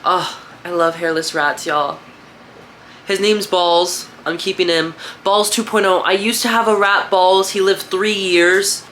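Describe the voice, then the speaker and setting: Young male vlogger, congested, bright edge, rambling and profane; echoey shed. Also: high-pitched, steady tone, unhurried, a little hiss or hum. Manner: rambling, profane, chatty with viewers. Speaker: reptile and rat breeder vlogger. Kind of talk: casual show-and-tell vlog. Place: rat shed.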